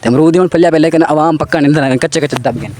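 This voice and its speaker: A man vlogging casually outdoors in the countryside, slightly hoarse from a sore throat, chatty and excited. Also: a little high, rapid-fire. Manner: chatty, excited, calls out to people. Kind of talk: casual vlog narration.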